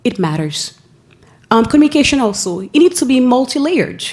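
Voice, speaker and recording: A woman from Haiti with a Haitian accent, giving an earnest presentation at a school board meeting, often pausing and restarting her phrases. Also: sits high, big pitch swings, darkish tone. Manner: earnest, with frequent pauses and restarts. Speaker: school family engagement staff member.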